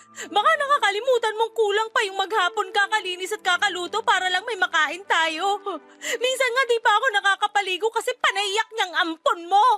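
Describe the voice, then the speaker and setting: A woman playing a resentful, hot-tempered Filipino wife and adoptive mother in a radio drama, exasperated and defensive. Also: extremely high pitch. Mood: hurt, resentful. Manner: exasperated, defensive, sharp-tongued.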